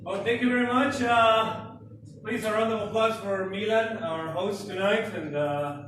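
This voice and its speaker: A man's voice: sits high, measured pace, fairly bright tone. A young Mexican man with a Mexican Spanish accent, doing a self-deprecating stand-up comedy set and acting out scenes. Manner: self-deprecating, acts out scenes in put-on voices. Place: large room with live audience laughter. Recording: echoey hall from far off, room noise.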